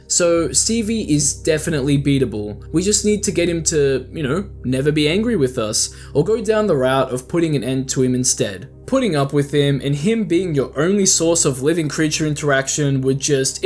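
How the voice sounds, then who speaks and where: Higher-pitched man hosting video commentary. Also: darkish tone. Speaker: video host. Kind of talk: casual scripted video commentary. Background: background music.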